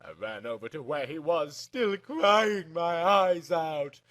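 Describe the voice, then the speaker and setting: A man's voice: a little high, lively intonation, takes it slowly, a bit bright. A man reading a first-person story aloud in a theatrical, emphatic narrating style.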